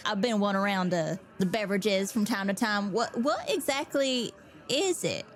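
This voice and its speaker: A woman's voice: mid-range voice, lively intonation, normal speed, lightly acted. A woman playing a flustered, gushing young adventurer. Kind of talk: tabletop game character voice.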